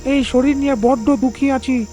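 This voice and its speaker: Woman as a high, mellow, sly fox. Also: steady tone, moderate pace, soft background music, natural voice. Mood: pleading. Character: sly, self-pitying fox who craves power.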